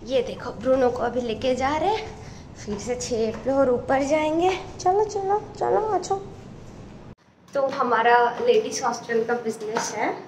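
A woman's voice: high voice, slight background noise. A woman in her twenties from India, chatting casually as a vlogger in an informal way, mixing in English. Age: 20s. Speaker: vlogger and gaming streamer. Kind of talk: casual vlog chat mixing Hindi and English. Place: small rooms at home. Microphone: phone held at arm's length.

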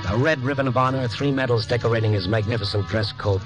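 A man's voice: medium pitch, fast talker, lightly acted, rough recording. An American man playing a wry, dogged newspaper reporter in a 1950s radio drama, sardonic and hard-boiled. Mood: tense narration. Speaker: lead actor and first-person narrator. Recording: old radio recording with music.

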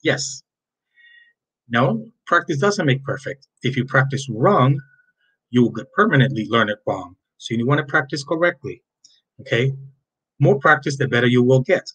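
A man's voice: medium pitch, lively intonation, normal speed, fairly bright tone, breathy edge, low-quality recording. A man in his fifties from Cuba with a Cuban Spanish accent, a native Spanish speaker introducing himself as a Spanish teacher to his students in a chatty, friendly way. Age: 50s. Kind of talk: recorded class introduction over slides.

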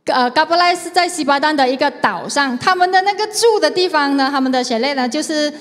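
High-voiced Malaysian woman interpreting in a hall. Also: fast, a bit bright, faint music behind. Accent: Malaysian Mandarin. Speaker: sermon interpreter. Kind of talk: consecutive interpreting of a sermon. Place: large church hall, indoors, on stage. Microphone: handheld mic. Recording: echoey hall with long reverb.

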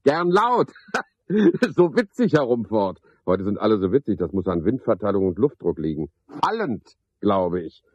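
A cynical night porter in a 1970s German radio play. His voice is low and husky, his delivery wry and clipped as he mutters grim sums to himself. The recording sounds a little dull. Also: big pitch swings, moderate pace, dry recording. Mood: mock-jovial. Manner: sardonic, clipped, musing to himself.

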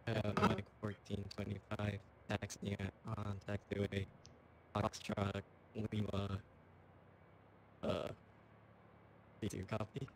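A man talking haltingly as a pilot on voice chat in an online flight game. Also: fairly low pitch, monotone, poor audio. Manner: hesitant. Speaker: pilot player. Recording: quiet gravelly mic with background noise.

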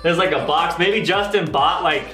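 Excitable high-voiced young man hosting gaming videos. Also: moderate pace. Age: 20s. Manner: excitable, theatrical, frequent shouting. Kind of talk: exaggerated kids' gaming commentary. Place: small room at home, indoors. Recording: roomy echo with loud background music. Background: music.

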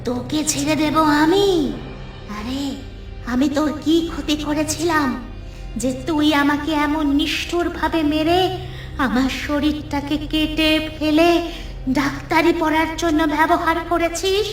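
A woman voicing the vengeful ghost of a wronged young woman in a scripted horror cartoon, with a deliberate, aggrieved delivery. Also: high-pitched, steady tone. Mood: vengeful, accusing. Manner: slow, deliberate, grievance-laden delivery. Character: vengeful female ghost of a poor starved murdered girl. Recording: echoey, distant voice over music.

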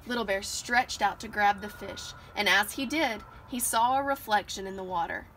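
A woman reads a children's book aloud in an expressive storytelling style. Her voice is bright and mid-pitched. It is a home recording made outside, with steady ambient noise. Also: normal speed. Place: outdoors on a porch swing. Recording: noisy outdoor home recording.

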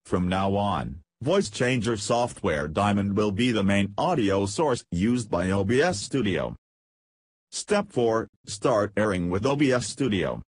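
A man reading a scripted, step-by-step software tutorial as its narrator. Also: on the low side, very animated, a little slow, breathy edge, no room sound.

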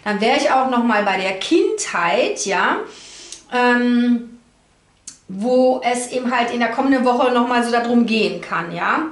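Higher-voiced woman in an echoey room. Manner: halting, searching for words. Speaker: tarot card reader. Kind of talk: informal explanatory card reading to camera. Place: indoor room at a table. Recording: roomy, echoey indoor sound.

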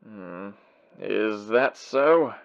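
A man voicing a pompous, prickly, old-fashioned demon gentleman with formal, theatrical delivery in a cartoon comic dub. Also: lowish voice, animated, very slow, vocal fry, lo-fi sound, full character voice. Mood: dry. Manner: pompous, formal, clipped indignation.

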